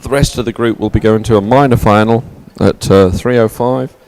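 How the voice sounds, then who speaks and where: A man's voice: fairly low pitch, fairly even intonation, brisk, a little mellow. A man co-hosting live race commentary and reading out results, talking in an enthusiastic, wordy way. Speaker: sports commentator, co-host.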